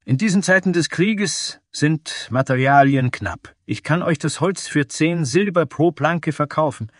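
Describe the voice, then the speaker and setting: A man voicing an old dragonkin carpenter in a fantasy audio story, measured and fair, with a cautionary manner. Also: a little high, no room sound, studio quality, pitched above the performer's own voice. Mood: calm, fair.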